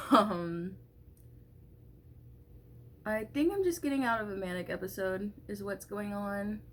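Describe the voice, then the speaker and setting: A woman's voice: mid-range voice, unhurried, slight background noise. Congested woman, early twenties, loose rambling vlog chatter with swearing. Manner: rambling, filler-heavy, swears casually. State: congested, stuffy nose. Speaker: vlogger. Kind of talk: casual get-ready-with-me chat. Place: at home doing makeup.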